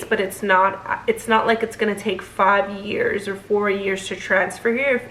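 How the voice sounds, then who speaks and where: A woman's voice: medium pitch, a bit bright, reverberant, a little hiss or hum, rough recording. A young woman, a flight attendant, chatting casually to camera with a stuffy nose as she gets over being sick, with lots of fillers and restarts. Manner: chatty, filler-heavy, self-correcting. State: stuffy, getting over illness.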